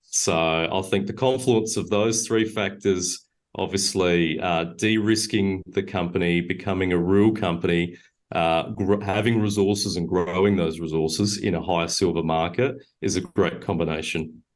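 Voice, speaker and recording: A man, a mining company director, answering interview questions in a measured way with frequent fillers and self-corrections. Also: deep voice, steady tone.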